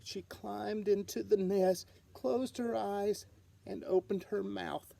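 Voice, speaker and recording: Female storybook reader: slow-paced, mid-pitched, expressive, dramatic read-aloud narration. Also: gravelly. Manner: expressive, dramatic delivery with sound words.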